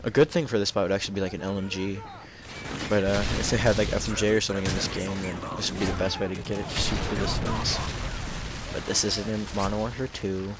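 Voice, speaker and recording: Hoarse teen boy over loud gunfire. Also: fairly low pitch, little pitch movement, fast, heavy background noise. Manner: rambling, self-correcting, with frequent restarts. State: hoarse and sick. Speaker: gameplay commentator. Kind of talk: casual gameplay commentary. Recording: loud gunfire from the game behind.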